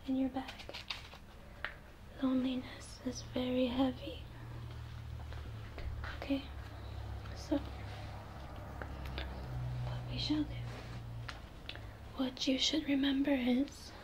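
Young woman, ASMR role play, hushed whisper, high-pitched, reassuring, close-miked. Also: low-quality recording. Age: young adult. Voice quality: whispered, breathy. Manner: soothing, reassuring. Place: small indoor room.

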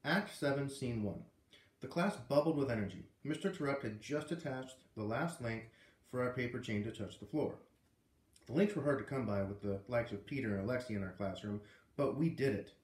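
A man's voice: mid-range voice, brisk. A man in his thirties reading a storybook aloud casually in a living room, recorded by a camera a few feet away. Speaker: read-aloud host. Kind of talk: casual storybook read-aloud with chatty asides. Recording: roomy, echoey home recording.

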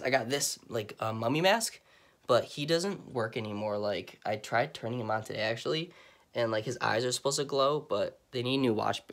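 A young man with a stuffy, cold-blocked voice, chatting casually in a rambling way as he gives a vlog tour of his dark bedroom, recorded on a phone held at arm's length. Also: medium pitch. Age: young adult. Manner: chatty, rambling, lots of fillers. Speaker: vlogger. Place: dark bedroom lit by Halloween lights. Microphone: handheld phone camera at arm's length.

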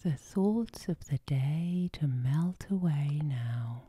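A woman giving guided sleep hypnosis in a soft, whispery ASMR style, gentle and soothing. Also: sits low, animated, slow, slightly rough, no echo. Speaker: hypnotherapist, channel host.

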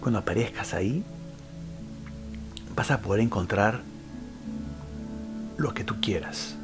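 A man with a fairly deep voice leads a guided meditation. He speaks very slowly, in short instructional phrases separated by pauses. Music plays clearly behind him. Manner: unhurried instructional delivery with frequent pauses. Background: background music.

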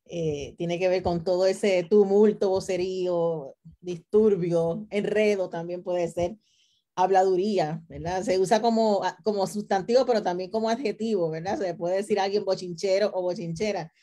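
Mellow middle-aged Puerto Rican woman. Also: medium pitch, fairly even intonation, moderate pace. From Puerto Rico. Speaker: theology professor, panelist. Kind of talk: conversational self-introduction. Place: indoor room with bookshelves, video call. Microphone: computer mic on a video call.

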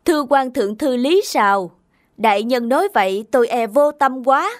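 A woman voicing an earnest, compassionate male governor in an animated folk tale, respectful and pleading. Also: sits high, moderate pace. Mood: concerned. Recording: faint music underneath.

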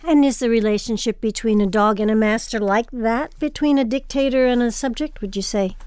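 A woman's voice: fairly high pitch, brisk, a little mellow, clear, resonant tone, dry recording. A woman hosting, presenting from a script and interviewing guests. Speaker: host.

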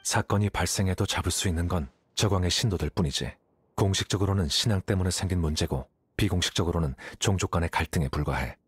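A man's voice: low-pitched, quick pace, husky, no echo. A man voicing a cool-headed, analytical young scholar in a Korean game dub, composed and matter-of-fact as he explains. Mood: detached, explaining. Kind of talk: Korean-dubbed anime game dialogue.

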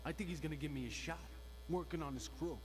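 An actor playing an eager, ambitious young mob hopeful in a video game, earnest and defensive. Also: male voice, on the high side, quick pace, close to an everyday voice. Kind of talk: video game cutscene acting. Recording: noisy game audio with hum.

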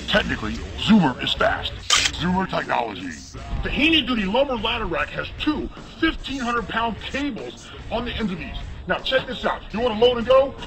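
A middle-aged man giving an infomercial sales pitch as an inventor, excitable and bellowing, pushing hard for the sale. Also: normal speed, creaky. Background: music.

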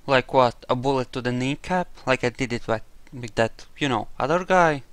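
A man's voice: mid-range voice, moderate pace, a bit bright, clear, resonant tone, no room sound. A man giving casual roleplay commentary over a video game, with wry, jokey asides. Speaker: gamer narrating a playthrough.

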